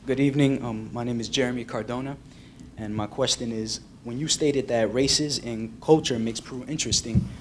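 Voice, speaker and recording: A man's voice: medium pitch, fairly even intonation, moderate pace, slight background noise. A young man in the audience politely asking a question, restating it carefully and precisely.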